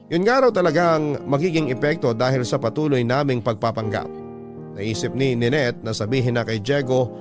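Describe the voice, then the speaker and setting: A man narrating a scripted first-person love story from a letter, in classic radio storytelling style. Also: medium pitch. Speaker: radio host reading a listener's letter. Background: music bed.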